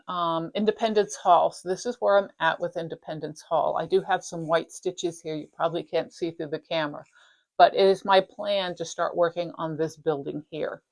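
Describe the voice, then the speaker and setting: Slightly raspy lowish woman. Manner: chatty, rambling, self-interrupting. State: slightly raspy from dry throat. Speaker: flosstube host. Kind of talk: casual show-and-tell chat. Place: craft room at home.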